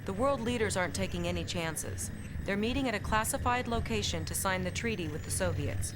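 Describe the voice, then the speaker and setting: A woman's voice: mid-range voice, expressive, brisk, background noise, natural voice. A woman playing an earnest military intelligence lieutenant in game cutscenes, speaking in a formal briefing manner. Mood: businesslike. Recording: music behind the voice.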